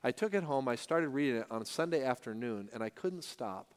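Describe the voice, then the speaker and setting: A man hosting a church service interview, telling stories in a chatty, animated way with lots of fillers. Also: medium pitch, brisk, clear, resonant tone, in a large hall. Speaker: pastor interviewing a guest. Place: church sanctuary with congregation.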